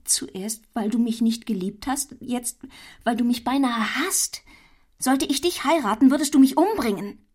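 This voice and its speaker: Radio play heroine: young, resolute, emotionally intense yet measured, voice slightly on the high side. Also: female voice, a little mellow. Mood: pained accusation. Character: earnest, resolute young woman, quietly defiant. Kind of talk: German radio play acting.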